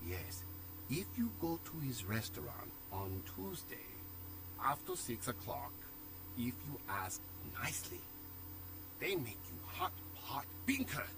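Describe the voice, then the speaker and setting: A man's voice: mid-range voice, sing-song, slow pace, background noise. A man voicing a friendly shopkeeper in a video game, speaking clipped broken English. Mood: helpful, unhurried. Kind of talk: video game character dialogue.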